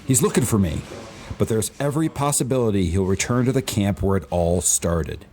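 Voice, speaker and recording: A man's voice: fairly low pitch, animated, fairly quick, darkish tone. A man playing an urgent, haunted young man in an amateur radio play. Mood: urgent, fearful.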